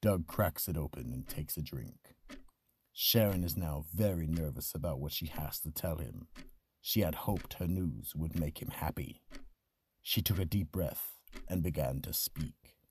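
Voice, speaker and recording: A man hosting and narrating a radio mystery with solemn, ominous, husky storytelling. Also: very deep voice, measured pace, darkish tone, slight background noise. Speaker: narrator and host.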